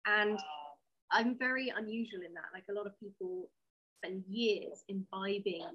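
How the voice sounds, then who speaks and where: A woman's voice: mid-range voice, fairly even intonation, moderate pace, breathy edge, muffled recording. A woman talking candidly and with firm opinions as an interview guest, explaining things conversationally. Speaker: interview guest, sci-fi writer.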